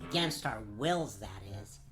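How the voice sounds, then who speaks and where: A woman playing a wisecracking, unfazed child in a comedic fairy-tale radio play, with a deadpan, chatty, sarcastic delivery. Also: very deep voice, unhurried, over music. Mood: matter-of-fact. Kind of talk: comedic gag-dub radio play acting.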